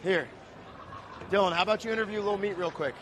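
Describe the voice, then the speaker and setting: A man hosting a casual livestream, loud and hyped as he talks straight to viewers and chats with guests. His voice sits a bit higher than most men's. Clear traffic noise from the street runs behind him. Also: fairly quick. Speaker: host.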